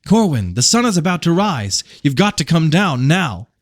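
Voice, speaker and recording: A man voicing an urgent fantasy prince in an audiobook, calling out loudly and pressingly to his brother. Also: on the high side, big pitch swings, moderate pace, dry recording, clean studio sound, voice pushed up.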